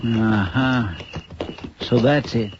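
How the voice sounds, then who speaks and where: An actor playing an earnest, deferential Old West deputy with a folksy rural Western drawl in a 1950s radio drama. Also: male voice, mid-range voice, extremely slow. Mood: hushed, musing. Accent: American rural Western drawl. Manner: folksy, plain-spoken, eager. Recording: muffled noisy 1950s radio recording.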